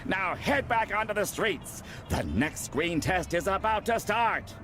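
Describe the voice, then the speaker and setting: An actor playing a pompous, impatient, bossy film director villain in an animated video game. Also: male voice, sits high, unhurried, slight background noise, full character voice. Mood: impatient, grudging. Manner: bossy, self-important, sarcastic.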